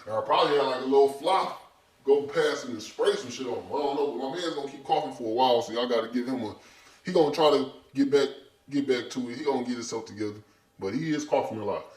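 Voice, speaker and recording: A man's voice: medium pitch, fast, darkish tone, far from the mic. A man from the United States hosting a video, reacting to a lecture in heated, rambling, slangy talk. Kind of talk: casual commentary. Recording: roomy, away from the mic.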